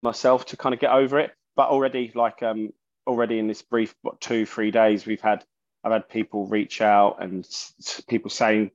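Loud-voiced man, dull lo-fi sound. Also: fairly low pitch, level tone. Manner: halting, searching for words, many restarts. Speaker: musician guest, bassist and singer. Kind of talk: casual interview talk.

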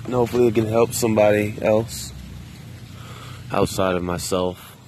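A man talking to himself in a park in a quiet, subdued, hesitant way, with many restarts and casual swearing. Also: lowish voice, steady tone, normal speed, slight background noise. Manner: loose and conversational with casual swearing. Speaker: vlogger. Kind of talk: casual solo monologue. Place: outdoors in a park.

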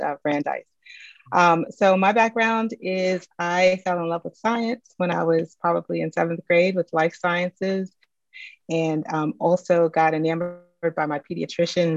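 A woman's voice: on the low side, no room sound. A woman scientist introducing herself carefully on a video call panel, correcting herself and using many fillers. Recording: dull, dry video-call audio.

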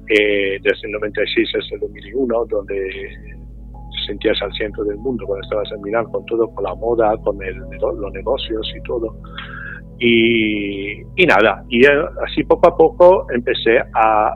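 Hesitant Italian man, low voice. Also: husky, narrow, tinny audio. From Italy. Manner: hesitant, many fillers and restarts. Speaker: interview guest. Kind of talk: radio interview.

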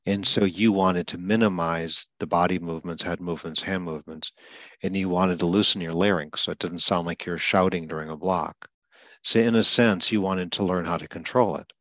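An older man who stutters a little himself, calmly explaining ideas to a client in an interview. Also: deep voice, no room sound. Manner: explains slowly and deliberately, stutters lightly himself. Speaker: speech coach interviewing a client. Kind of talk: calm conversational interview.

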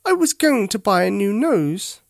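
A storyteller voicing an earnest, forlorn little male toy bear in a plaintive, childlike, expressive way for a children's story. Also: mid-range voice, measured pace, creaky, no echo, studio quality, voice pushed up. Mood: dejected.

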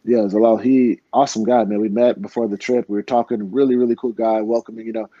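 A man's voice: lowish voice, little pitch movement, fast talker, dark tone, lo-fi sound. A young American man, a professional fighter, talking earnestly in a casual interview, rambling with many restarts. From United States.

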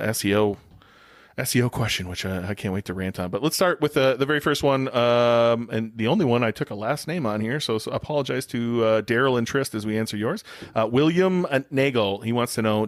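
A man's voice: medium pitch, a little fast, dry recording, studio quality. An American man co-hosting a podcast in casual, teasing, irreverent banter. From United States, Wisconsin. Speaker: podcast co-host.

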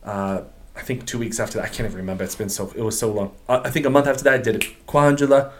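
A middle-aged man from Portugal speaks as a podcast guest, easygoing and chatty. He wanders through his story with plenty of fillers, slangy asides and restarts. His voice sits at an ordinary middle pitch. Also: fairly even intonation, racing, slight background noise. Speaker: guest, business owner. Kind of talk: casual interview chat.

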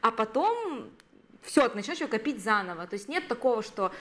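A woman of thirty co-hosting a podcast in casual chat, lively and acting out quotes. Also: brisk. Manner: lively, acts out quotes in a high voice. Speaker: podcast co-host.

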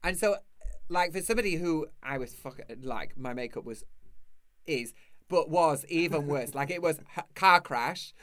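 Camp guy in his 30s, bright animated voice, chatty, dry sound. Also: on the high side, no room sound. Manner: camp, chatty, quick to laugh. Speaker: host. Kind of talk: casual chat over video call. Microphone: video call.

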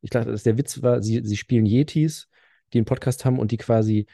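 A man's voice: mid-range voice, fairly even intonation, brisk, creaky, mellow, dry recording, clean studio sound. A man co-hosting a casual podcast, rambling and full of fillers as he tells stories. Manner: rambling, filler-heavy storytelling. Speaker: podcast co-host. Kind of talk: casual chat.

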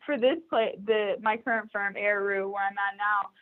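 A woman's voice: medium pitch, steady tone, normal speed. A young woman, a recruiter, chatting casually and upbeat as a podcast guest, laughing often. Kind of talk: casual interview chat. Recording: tinny phone-like line.

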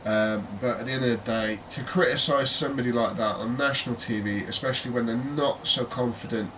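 British male co-host, jokey banter, mid pitch, recorded from a distance with faint hiss. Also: a little fast. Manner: chatty, jokey, trails into laughter. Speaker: podcast co-host. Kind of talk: casual chat. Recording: distant mic with faint noise.

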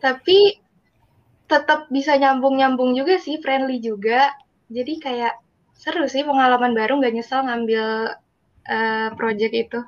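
A woman's voice: high-pitched, fairly even intonation, measured pace, pauses often. A teenage female student with an Indonesian accent telling her experience in casual chat, on a muffled recording. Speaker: student interviewee. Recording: muffled lo-fi audio.

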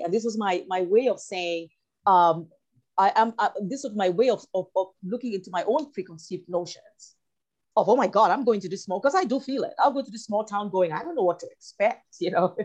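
A woman from Cameroon talking reflectively as an interview guest, halting and repeating phrases as she searches for her words. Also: mid-range voice, animated, darkish tone. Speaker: interview guest, writer and actor.

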